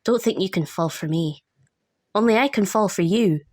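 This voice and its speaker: A woman's voice: medium pitch, normal speed, darkish tone, no room sound, clean studio sound, natural voice. A woman playing a playful, theatrical young masked vigilante in audio drama dialogue, with a teasing manner.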